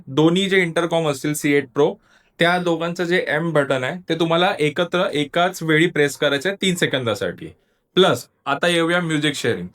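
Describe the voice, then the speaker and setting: A man's voice: fairly high pitch, fairly bright tone. A man giving a casual product review, explaining step by step and talking straight to viewers. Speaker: motovlogger reviewing gear.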